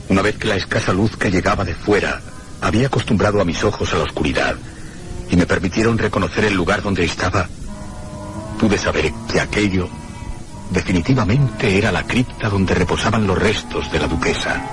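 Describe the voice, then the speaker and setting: A dread-filled man, played by an actor, tells his tale for radio horror drama. His voice is on the low side and his phrasing is theatrical and deliberate. Prominent music runs under him. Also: lightly acted. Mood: haunted dread.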